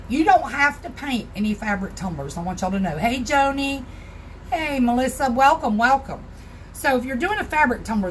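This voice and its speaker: Hoarse Southern woman hosting a craft live stream. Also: fairly high pitch, a little hiss or hum, low-quality recording. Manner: chatty, greets viewers by name. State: hoarse, raspy voice. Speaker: crafting tutorial host. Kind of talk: casual live-stream tutorial. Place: home craft room.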